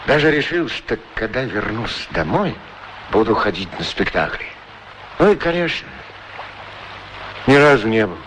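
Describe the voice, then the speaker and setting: A man's voice: on the low side, measured pace, pauses often, poor audio. Wistful, lilting middle-aged shoeshine man. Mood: wistful, musing. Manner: ruminative, humble, folksy, courteous. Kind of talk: radio play acting, musing monologue and dialogue. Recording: radio drama recording with steady noise.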